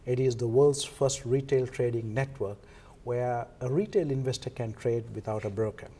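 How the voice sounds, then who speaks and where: A man with a Sri Lankan accent answers as an interview guest. His tone is soft-edged and mellow at a middle pitch, and he pauses mid-sentence as he explains. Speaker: interview guest, foundation co-founder. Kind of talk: televised interview, explanatory talk.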